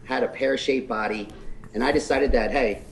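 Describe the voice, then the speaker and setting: A man's voice: medium pitch, fairly even intonation, moderate pace, a little hiss or hum, rough recording. A man in his forties telling the story of his life in an interview, earnest and candid with some self-deprecating humor. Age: 40s. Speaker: physique competitor guest.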